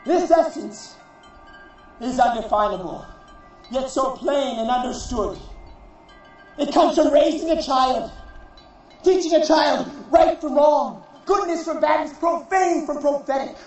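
A man performing a theatrical monologue as a proud, defiant warrior, solemn and declamatory, in deliberate phrases. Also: very high-pitched, darkish tone, faint music behind. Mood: exhorting. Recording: distant, very echoey, muffled recording.

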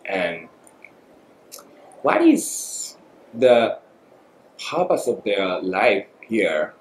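A man in his forties from Japan with a Japanese accent, a guest speaking thoughtfully and haltingly in a podcast interview, with many fillers. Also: fairly low pitch, sing-song. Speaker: guest photographer. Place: small studio room. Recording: echoey room, faint noise.

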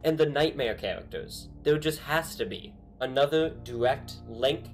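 A man's voice: medium pitch, normal speed, faint music behind. A young man hosting a casual video, talking through a game theory with lots of ums and restarts, in a small bedroom. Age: young adult. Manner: rambling, many ums and restarts. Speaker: YouTube host.

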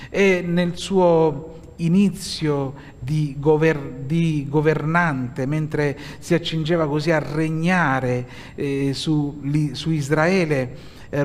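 A middle-aged man from Italy preaching a sermon from a pulpit as pastor, slow and measured with pauses and hesitant fillers. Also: on the high side. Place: church hall, at a pulpit. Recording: long hall reverb.

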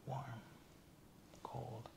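Male ASMR patient, subdued and unhurried, short hesitant replies, clear room noise behind. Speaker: patient being examined. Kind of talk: quiet ASMR roleplay, short answers. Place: small indoor room set up as exam office.

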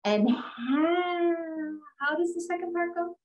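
An older woman playing a naive, daffy wife with an innocent, drawn-out delivery in 1940s radio comedy style. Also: very high voice, a little mellow. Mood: innocently pleased. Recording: roomy, distant from the mic.

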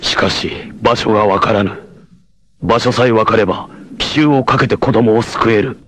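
A man's voice: fairly low pitch, moderate pace, fairly bright tone, dry recording. A man voicing a noble, self-sacrificing blind warrior leader in a 1980s anime, with a solemn, formal, declamatory delivery. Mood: earnest, grave. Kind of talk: 1980s anime martial-arts voice acting.

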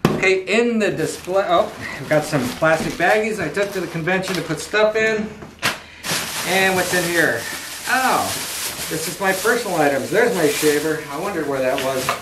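Middle-aged male collector, upbeat and chatty, slightly higher voice, roomy living-room sound, faint hiss. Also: normal speed. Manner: chatty, enthusiastic, salesy asides. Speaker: toy car collector and dealer. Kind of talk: casual unboxing vlog. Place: living room indoors, home. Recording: echoey living room, faint background noise.

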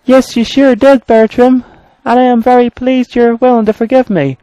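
A man's voice: extremely high pitch, little pitch movement, moderate pace, mellow, no echo, pitched above the performer's own voice, poor audio. A man voicing a timid, apologetic little male mine engine in a children's story, nervous and pleading. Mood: meek, eager.

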